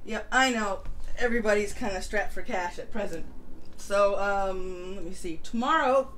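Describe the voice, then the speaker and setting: A woman hosting a casual live stream, reading announcements and chatting informally. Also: medium pitch. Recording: noisy home livestream audio.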